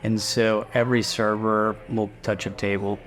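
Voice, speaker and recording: A man's voice: on the low side, little pitch movement, normal speed, fairly bright tone. A middle-aged man from Guatemala, a restaurant owner, chatting casually in an interview and rambling a little with fillers and restarts.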